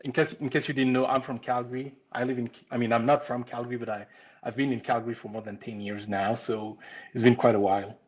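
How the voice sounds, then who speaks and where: A man with a French accent chats brightly with his live audience, calling out viewers as they join. His voice sits at an ordinary middle pitch. The stream audio is a little muffled and lo-fi. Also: flat delivery, fast talker. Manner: upbeat, greets viewers one by one. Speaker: pastry instructor. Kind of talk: live-stream greeting chat. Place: home kitchen.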